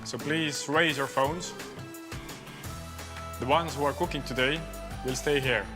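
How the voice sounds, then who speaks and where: A man's voice: medium pitch, unhurried. A man hosting a cooking competition as a judge, giving firm instructions. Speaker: judge chef. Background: background music.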